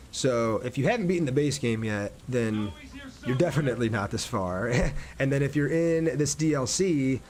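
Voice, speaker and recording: A man casually commentating on a game livestream and chatting with his viewers. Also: mid-range voice. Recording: clear background noise, game ambience.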